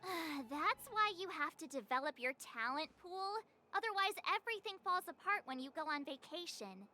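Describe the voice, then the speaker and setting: Game role: cheeky teen girl adventurer, very high, swooping melodic lines, music underneath. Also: moderate pace, full character voice. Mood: cheeky, awkward. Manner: bubbly, cheeky, expressive. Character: perky, outspoken, teasing teenage adventurer girl. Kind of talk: video game voice acting.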